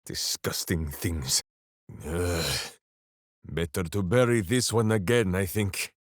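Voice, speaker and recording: An actor playing a big-hearted, simple-minded berserker warrior in a video game, grandiose and earnest. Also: male voice, deep voice, expressive, takes it slowly, no room sound, clean studio sound. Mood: subdued, solemn. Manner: grandiose, speaks of himself in third person. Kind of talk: fantasy video game voice acting.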